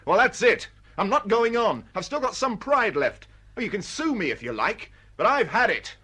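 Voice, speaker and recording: Radio drama: a prickly writer, slightly rough-voiced, dry and indignant, lively intonation. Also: male voice, high voice, normal speed, exaggerated voice. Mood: indignant, wounded. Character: touchy, proud male novelist, paranoid and put-upon.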